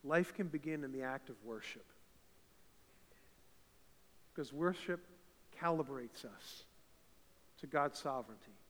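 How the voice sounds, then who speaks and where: A man from eastern Canada with a big voice, preaching a fervent sermon in a church and building to loud, emphatic peaks. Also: mid-range voice, a little slow, long pauses. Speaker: pastor. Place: church sanctuary. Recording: reverberant church hall.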